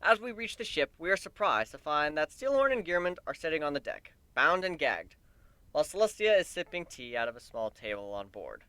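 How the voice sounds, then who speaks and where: Animated male story reader, high bright voice. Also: fairly quick, clear, resonant tone, no room sound. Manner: expressive storytelling delivery. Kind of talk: dramatic reading of fan fiction.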